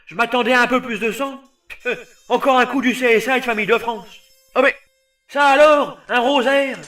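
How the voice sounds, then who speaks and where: A man's voice: very high-pitched, fairly even intonation, normal speed, bright, forceful tone. A man voicing a whiny, effeminate young hero knight in a comic anime parody dub, fussy and melodramatic. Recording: slightly distant, faint music behind.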